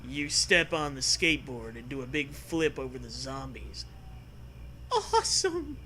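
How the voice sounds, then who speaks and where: A man's voice: mid-range voice, normal speed, bright, forceful tone. A man co-hosting a podcast, reading a gamebook aloud in a playful, theatrical way with joking commentary. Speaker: podcast co-host. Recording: faint background noise.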